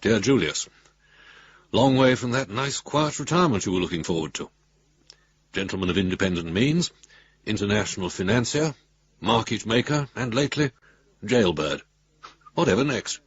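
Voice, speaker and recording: An actor playing a droll, gleeful male solicitor with a husky voice, reading out his letter archly in a radio drama. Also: fairly low pitch, expressive, moderate pace, long pauses, muffled recording. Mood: droll, amused. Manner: droll, amused, arch.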